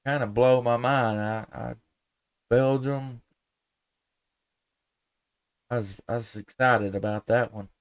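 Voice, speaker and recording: Smooth-voiced man chatting on ham radio. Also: mid-range voice, dry recording. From United States. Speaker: amateur radio operator, live stream host. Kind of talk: casual radio contact chat.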